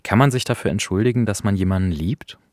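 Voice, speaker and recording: A man hosts a culture podcast in a reflective, conversational manner. His voice sits on the low side. The sound is dry, with next to no room on it. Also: fast, a little mellow, no echo. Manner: reflective, analytical, explanatory. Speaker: podcast host. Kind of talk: conversational discussion of radio drama.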